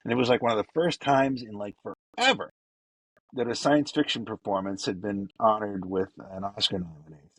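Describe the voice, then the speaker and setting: A man hosting a fan podcast, giving casual, effusive birthday shout-outs, rambling with lots of fillers. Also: on the low side, brisk, slightly rough, dry recording, poor audio. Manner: effusive and enthusiastic, loose with fillers. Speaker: podcast host.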